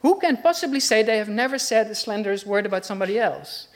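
A woman's voice: mid-range voice, expressive, quick pace. Dutch-accented woman preacher, earnest, conversational, full of rhetorical questions. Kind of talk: sermon.

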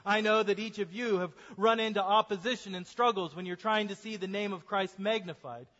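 A man preaching a sermon as a pastor, speaking earnestly and slowing down to stress key points. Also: high-pitched, bright, forceful tone. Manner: earnest preaching, slows for emphasis. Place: church sanctuary. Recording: dull sermon recording in a reverberant church.

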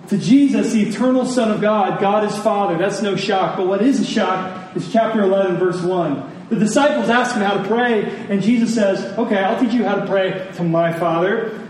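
A man with a fairly high voice delivers an earnest sermon, leaning on key phrases and pausing deliberately. He is recorded at a distance in a very reverberant room, with faint noise underneath. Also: a little mellow. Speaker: preacher. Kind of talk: sermon to a congregation.

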